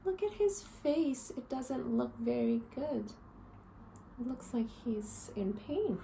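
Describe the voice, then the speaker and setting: A woman in her thirties reads and explains a picture book to young children like a teacher. Her voice is fairly high and very animated, with slow, deliberate phrasing. It is recorded indoors with faint ambient noise behind her. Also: gravelly, poor audio. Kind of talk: expressive picture-book read-aloud and simple lesson for children. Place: small living room, indoors.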